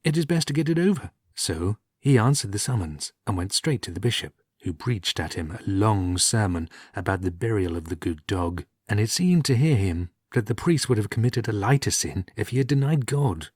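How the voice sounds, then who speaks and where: Male storyteller, lowish husky voice, wry and measured audiobook read, close dry sound. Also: expressive, a little mellow, no room sound. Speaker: audiobook reader. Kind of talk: storytelling narration of an old comic tale.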